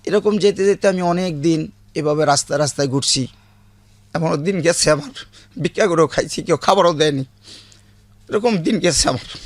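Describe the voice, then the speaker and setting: A man's voice: on the high side, normal speed, long pauses, no room sound. A man in his late fifties with a Bangladeshi accent, telling his life story as an interview guest in plain, colloquial, repetitive speech.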